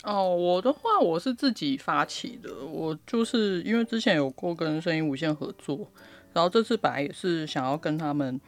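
A woman's voice: sits low, expressive, moderate pace. A woman with a Taiwanese Mandarin accent, an author talking casually as a podcast guest. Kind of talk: casual chat.

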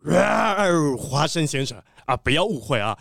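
A man playing a polite, excitable treasure collector in a children's audio drama, with a dramatic storytelling manner. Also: a little high, measured pace, creaky, no echo, studio quality, full character voice. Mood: polite, flustered.

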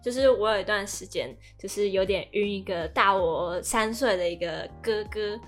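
A woman's voice: medium pitch, moderate pace. Young woman chatting casually with music behind. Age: young adult. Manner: animated storytelling, acts out quoted remarks. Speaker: podcast co-host. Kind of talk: casual conversational podcast chat.

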